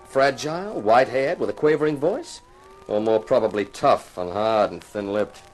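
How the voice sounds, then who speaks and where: An actor playing a dry, wisecracking insurance investigator who narrates a 1950s radio detective drama. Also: male voice, medium pitch, moderate pace, natural voice. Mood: moody narration. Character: wry, hard-boiled male insurance investigator and narrator. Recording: slightly noisy 1950s radio recording.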